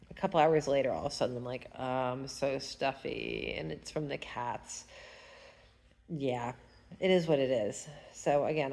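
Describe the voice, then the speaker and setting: Brief: chatty female crafter, low voice, stuffed-up nose, rambling and humming. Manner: chatty, rambling, hums while working. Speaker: fiber arts vlogger. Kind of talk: casual knitting tutorial chat. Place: at home.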